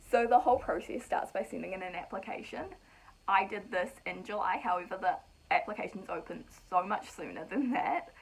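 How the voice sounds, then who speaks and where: A woman's voice: mid-range voice, vocal fry, slightly rough, rough recording. A young woman from New Zealand with a New Zealand accent, casually explaining a process to her vlog viewers in a chatty, practical way. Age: young adult. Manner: chatty and practical, with light laughs. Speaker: vlogger.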